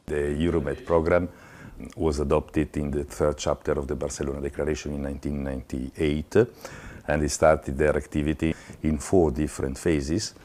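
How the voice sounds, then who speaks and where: A man explaining something in a measured, matter-of-fact way. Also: a bass voice, flat delivery, darkish tone. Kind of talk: explanatory talk.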